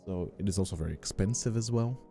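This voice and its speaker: A man's voice: deep voice, fast, creaky, warm tone. A man hosting a casual, rambling livestream chat, speaking in an earnest, informal way and often repeating himself as he finds his words. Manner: hesitant, repetitive, informal, earnest. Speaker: ASMR creator and streamer hosting a chat. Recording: faint music behind the voice. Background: faint music.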